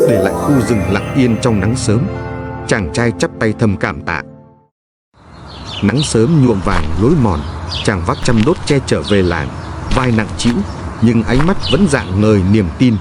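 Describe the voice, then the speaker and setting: A man narrates a folk fairy tale in a fairly low voice. He reads as a scripted storyteller for a cartoon, with music clearly playing behind him. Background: background music.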